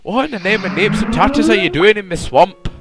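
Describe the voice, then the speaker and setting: A man's voice: sits high, big pitch swings, brisk, music in the background. A man voicing a harassed, grumpy ogre, exclaiming and scolding in a comic story. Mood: outraged. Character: harassed, grumpy male Scottish ogre, quick to outrage.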